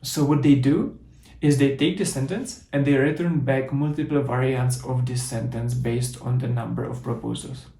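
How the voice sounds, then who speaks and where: A man's voice: mid-range voice, steady tone, normal speed, vocal fry, dark tone, reverberant. A man with a Czech accent giving a methodical conference talk, walking through code in a live demo. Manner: explanatory, methodical, with restarts. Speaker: conference speaker.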